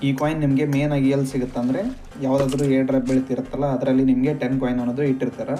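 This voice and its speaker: A man narrates a gaming how-to at a quick clip. His voice is very dark and soft-edged, staying even in pitch from phrase to phrase. Faint music plays behind him. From Karnataka, India. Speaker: gaming channel host. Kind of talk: scripted tutorial narration. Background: background music.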